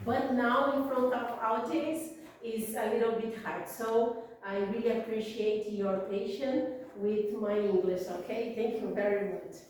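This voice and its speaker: A woman in her forties with a Brazilian accent, speaking to a business audience about immigration, halting and searching for words, a little under the weather with a cold. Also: on the high side, steady tone, a little mellow. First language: Portuguese. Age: 40s. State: has a cold. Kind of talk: business talk to a live audience. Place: meeting room with an audience. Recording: echoey room, voice from far off.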